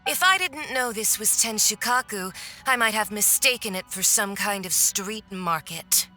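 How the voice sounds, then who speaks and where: A woman's voice: medium pitch, a little slow, bright, forceful tone, soft background music. A woman playing a haughty, mocking villainous diplomat in a video game, with a condescending, theatrical drawl. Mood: disdainful. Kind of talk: video game cutscene voice acting.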